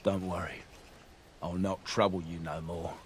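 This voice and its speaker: An actor playing a defeated mercenary killer in a fantasy game, rough, wry and grudging as he bargains. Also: sits low, steady tone, a little slow, slight background noise. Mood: placating. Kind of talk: video game dialogue acting.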